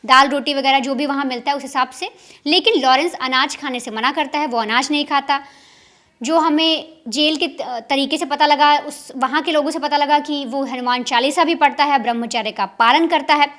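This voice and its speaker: A woman's voice: high-pitched, moderate pace, fairly bright tone. A woman crime news reporter explaining a case to viewers in an emphatic, repetitive way. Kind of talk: explanatory news commentary to camera.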